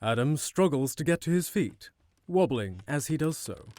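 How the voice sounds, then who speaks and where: A man's voice: fairly high pitch, lively intonation, moderate pace, darkish tone, no echo. A man narrating an audio drama from a script, as its storyteller.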